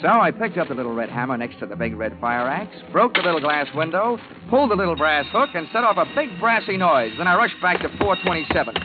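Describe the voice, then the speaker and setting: Guy acting hardboiled american investigator in old radio show, wry wisecracks, lively delivery, tinny with music behind. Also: on the high side, quick pace, hardly any pauses, lightly acted. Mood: wry narration. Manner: wry, tough, clipped wisecracks. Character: wisecracking, hard-boiled male insurance investigator. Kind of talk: 1950s radio drama acting and narration. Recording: tinny vintage radio broadcast recording.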